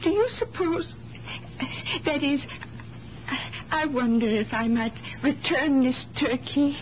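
Radio drama role: lonely older mother, slow, high, fragile, apologetic delivery. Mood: timid, apologetic. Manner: hesitant, faltering, apologetic. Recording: muffled, noisy 1970s radio broadcast.

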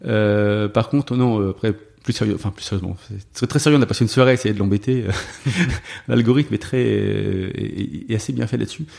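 A man whose first language is French, a software developer guest in a casual interview, talking with many fillers and restarts. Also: lowish voice, fairly quick, gravelly.

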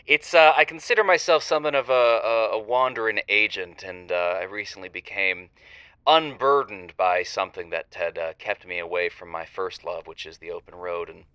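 A man's voice: deep voice, moderate pace, bright, forceful tone, smooth, no room sound. A man playing a shifty, rambling drifter calling a radio advice show, hesitant and stammering in a casual, improvised way. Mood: sheepish. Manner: hesitant, stammering, sheepishly evasive. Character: shifty, rambling divorced male drifter caller. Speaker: call-in show caller. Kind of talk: semi-improvised audio drama, casual phone-in talk.

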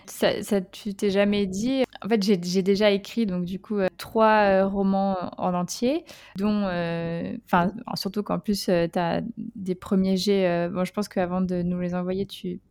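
A woman's voice: mid-range voice, little pitch movement, a little slow, a little mellow, smooth. A woman hosting a podcast interview, casual and friendly, asking long rambling questions full of fillers. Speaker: podcast host.

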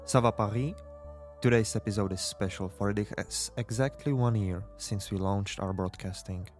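A man's voice: fairly low pitch, normal speed, a little mellow, soft background music, natural voice. A man playing an earnest rebel radio host in a dystopian drama, speaking solemnly and rallying the listener in a scripted, intimate address. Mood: earnest, welcoming.

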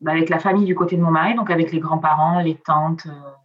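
A woman's voice: deep voice, fairly even intonation, quick pace, highs cut off. A middle-aged woman from southern France, with Italian as her first language, chatting in an interview with many hesitations and trailing endings. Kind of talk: casual interview chat.

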